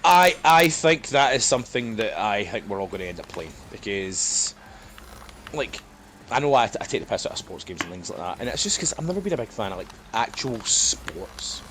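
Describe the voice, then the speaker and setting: A man's voice: fairly low pitch, very animated, normal speed, a bit bright, a little hiss or hum. A man in a casual, jokey, sweary chat with friends as a podcast co-host.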